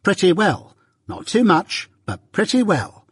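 A man voicing a sharp-tongued, grasping married woman with a curt, exclaiming manner in a storybook. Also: a little high, expressive, normal speed, slightly rough, dry recording, clean studio sound, voice pushed up. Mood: curt, eager.

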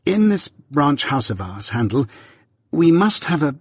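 A man reading aloud, voicing an affectionate young Victorian gentleman who speaks eagerly and cordially to his friend in a raised, bright voice. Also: fairly high pitch, big pitch swings, measured pace, breathy edge, no echo, clean studio sound, pitched above the performer's own voice, exaggerated voice.

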